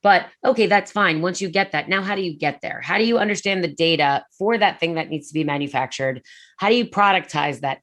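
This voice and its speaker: Casting: businesswoman, deep-set voice, chatty and animated, many fillers. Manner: fast, animated, filler-heavy. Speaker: prefab industry executive. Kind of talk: conference talk conversation.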